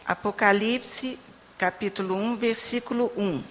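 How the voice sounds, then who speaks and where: A woman interpreting a sermon into Portuguese and reading scripture aloud in a steady, measured way. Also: medium pitch. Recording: long hall reverb with faint room noise.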